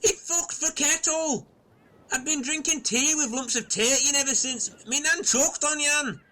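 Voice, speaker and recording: A man's voice: extremely high pitch, a little slow, sharp tone, pitched above the performer's own voice, heavily acted. A man voicing a blunt, foul-mouthed male castaway who rants with scorn. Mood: indignant. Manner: sweary, blunt, scornful ranting.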